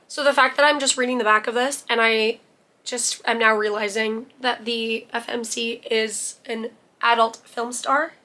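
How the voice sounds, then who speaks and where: A young woman from Canada, hoarse and a little rusty from laryngitis, chatting casually to camera as a book vlogger, self-deprecating and full of asides. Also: on the high side, sharp tone.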